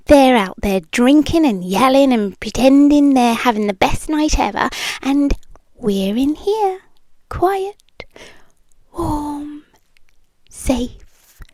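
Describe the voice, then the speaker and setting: A possessive, doting British girlfriend, acted by a woman, murmurs in a high, breathy voice that often slips into whispers. She coaxes very slowly, lingering between phrases with soothing, clingy tenderness. It is an intimate ASMR roleplay, close and dry on the mic. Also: darkish tone, no echo, full character voice. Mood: coaxing, tender. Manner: slow, lingering, coaxing delivery with pauses. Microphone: close microphone, dry.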